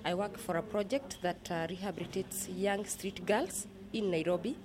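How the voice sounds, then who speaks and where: A woman with a Kenyan accent explaining her work in an interview, speaking in a measured, earnest way with pauses. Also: medium pitch, noisy background. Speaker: interviewee, project manager at a rehabilitation center.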